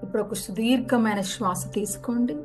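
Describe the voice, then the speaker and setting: Gentle woman guiding meditation over music. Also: fairly high pitch. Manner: gentle, unhurried step-by-step instructions. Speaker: yoga nidra guide. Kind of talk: guided relaxation meditation instruction. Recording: music behind the voice. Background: background music.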